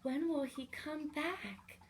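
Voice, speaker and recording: A woman's voice: high-pitched, steady tone, slow, slight background noise, pitched above the performer's own voice. A woman voicing an eager, curious young girl who wonders and asks questions in a children's storybook. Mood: longing.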